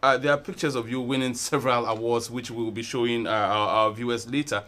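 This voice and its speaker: A man's voice: medium pitch, steady tone, quick pace, fairly bright tone. Stammering male TV talk show host. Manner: probing, stammers and repeats words. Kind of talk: studio interview. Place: TV studio set.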